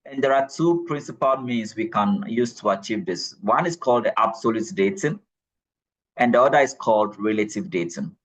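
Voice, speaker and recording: Male lecturer, low and breathy, patient and repetitive classroom delivery. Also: expressive, moderate pace, poor audio. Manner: repeats key points, checks in with "all right?". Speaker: geology lecturer.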